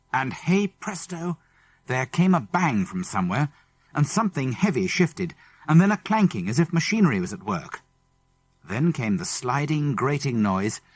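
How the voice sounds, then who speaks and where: A British male audiobook narrator tells a children's story with drama. His pitch is ordinary but moves a lot, the voice is a little husky, and the studio sound is dry. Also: dry recording. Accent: British English. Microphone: close studio microphone.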